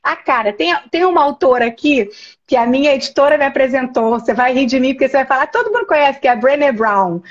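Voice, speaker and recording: A Brazilian woman with a high, bright voice rattles along quickly and emphatically, often restarting her sentences. She is on a video call, and the audio comes through dull and lo-fi. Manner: animated, emphatic, with many restarts. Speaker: host, professor. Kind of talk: casual conversation.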